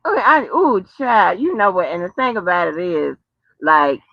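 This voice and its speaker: A woman's voice: lowish voice, expressive, moderate pace, no room sound. An American woman chatting casually and profanely, drawing out her exclamations and using folksy terms of address. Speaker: host. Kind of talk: casual rambling chat to viewers and on the phone. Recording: dull lo-fi sound.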